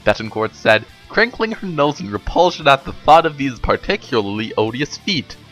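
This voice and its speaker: A man's voice: mid-range voice, very animated, moderate pace, fairly bright tone, lo-fi sound, faint music behind. A young man giving a dramatic reading of comic fiction, narrating between character lines. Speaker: reader.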